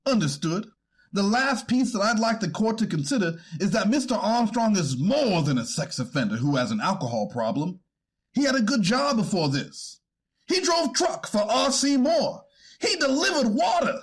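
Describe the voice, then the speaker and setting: Defense attorney played by a man, high-pitched and very animated, earnest, halting, deferential courtroom pleading. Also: breathy edge, heavily acted. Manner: deferential, formal, halting argument. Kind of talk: readers theater courtroom transcript reading.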